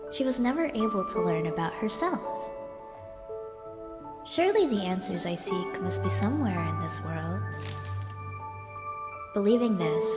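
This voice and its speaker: A woman playing a solemn storyteller, reading a fable in measured, scripted storybook narration. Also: medium pitch, natural voice. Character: solemn female storyteller of a lonely monster fable. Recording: loud music, echoey reverberant hall sound.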